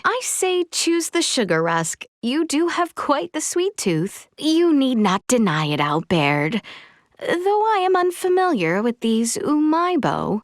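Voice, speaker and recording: A woman's voice: high voice, no echo, studio quality. A woman voicing a spirited young princess in a video game, speaking earnestly and expressively in formal, old-fashioned courtly language. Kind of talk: video game character dialogue.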